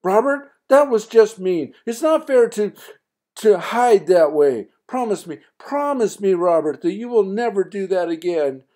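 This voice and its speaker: A man voicing an anxious, scolding mother in an audiobook, pitched high and loud. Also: animated, normal speed, hardly any pauses. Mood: pleading. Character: anxious middle-aged mother, shrill and scolding.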